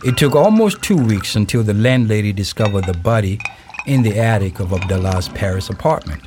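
A man's voice: fairly low pitch, expressive, brisk, noisy background. A man giving scripted narration for a documentary-style audio drama. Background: music.

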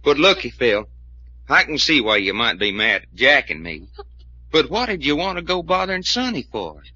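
An actor playing a folksy, wisecracking detective sidekick with a Southern American drawl, in 1940s radio style. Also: male voice, mid-range voice, sing-song, normal speed, pushed and bright, slightly rough, dry recording, heavily acted. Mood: wry, guarded. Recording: dull vintage radio recording.

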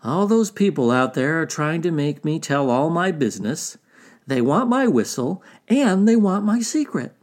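Unbothered male tortoise of a folk tale, acted by a storyteller: plain-spoken, secretive, pitch lifted. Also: big pitch swings, normal speed, a little mellow, natural voice. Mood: confiding.

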